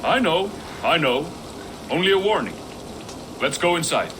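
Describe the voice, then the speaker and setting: An actor playing an earnest, weary reformed thief who longs for peace, speaking sincerely and reflectively in a video game cutscene. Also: male voice, on the high side, very animated, unhurried, heavy background noise. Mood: wary.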